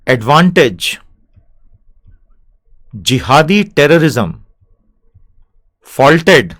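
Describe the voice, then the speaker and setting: Animated Indian man teaching English. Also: fairly high pitch. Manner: fast animated Hindi explanation, slow careful English reading. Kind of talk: language lesson, reading aloud.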